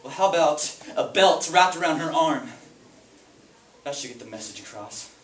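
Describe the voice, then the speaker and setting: A man performing a dramatic monologue as a haunted, bitter, grieving brother, speaking in a halting, intense way. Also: a little high, expressive, fairly bright tone. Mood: quiet grief. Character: grief-stricken brother, haunted and bitter over family abuse. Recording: echoey, noisy room from far off.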